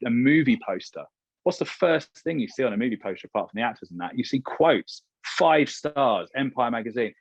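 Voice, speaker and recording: A man's voice: mid-range voice, husky. A British man speaking as an interview guest and radio presenter, chatty, candid and emphatic in casual conversation. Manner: chatty, candid, emphatic, acts out imagined remarks. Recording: roomy, slightly muffled sound.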